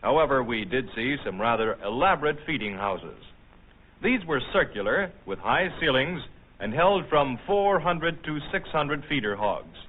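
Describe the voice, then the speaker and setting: An American man reading formal, measured, scripted narration in the manner of a 1950s documentary newsreel. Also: medium pitch, sing-song. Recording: tinny band-limited old film soundtrack.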